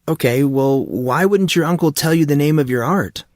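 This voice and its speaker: Man playing a curious, hesitant high-pitched teenage boy. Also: normal speed, darkish tone, dry recording, clean studio sound, close to an everyday voice. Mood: inquisitive. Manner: questioning, hesitant, wry. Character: curious, hesitant fourteen-year-old high school boy. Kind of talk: audiobook character dialogue.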